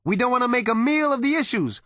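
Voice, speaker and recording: Man putting on a brash, high-pitched space rogue. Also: animated, fast, no echo, exaggerated voice. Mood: impatient. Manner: loud, dismissive, insistent.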